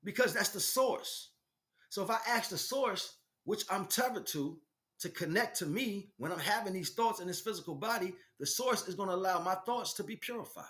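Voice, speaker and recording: Higher-pitched man preaching in short bursts. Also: expressive, moderate pace, fairly bright tone. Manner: emphatic, repetitive phrasing in short bursts. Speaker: spiritual teacher, host. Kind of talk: impromptu spiritual monologue, preaching.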